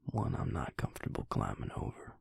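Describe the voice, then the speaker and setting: A man playing a brooding vampire maker in an intimate audio roleplay, speaking haltingly and reflectively in a husky, gravelly whisper. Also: a little mellow, no echo, studio quality, lightly acted. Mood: uneasy, confiding. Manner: halting, reflective, low-key. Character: brooding male vampire maker confiding in his mate.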